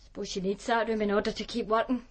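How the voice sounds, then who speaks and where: An actress playing a streetwise, defiant Glasgow sex worker with a Glaswegian accent, blunt and colloquial in a radio drama. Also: female voice, mid-range voice, little pitch movement, fast, natural voice. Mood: frank, weary. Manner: blunt, colloquial, matter-of-fact. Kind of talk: naturalistic radio drama acting.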